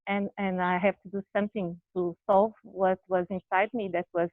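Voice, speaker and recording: Halting woman guest on a tinny call. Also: medium pitch, level tone, no echo. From Brazil. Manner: halting, searching for words, frequent restarts. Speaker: interview guest. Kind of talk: candid personal conversation. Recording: tinny phone-like video call audio.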